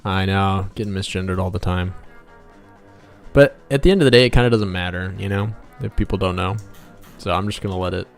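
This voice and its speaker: A man with a fairly low, slightly gravelly voice chats casually as a livestream host over music. He rambles playfully, half to himself and half to his viewers, in the easy style of a game developer working live. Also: a little fast. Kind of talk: casual livestream chat while drawing, reading out viewer comments.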